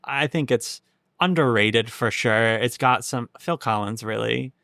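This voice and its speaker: A man's voice: mid-range voice, unhurried, creaky, fairly bright tone, no room sound, clean studio sound. A young American man hosting a podcast, reacting in casual, slangy chat. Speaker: podcast host.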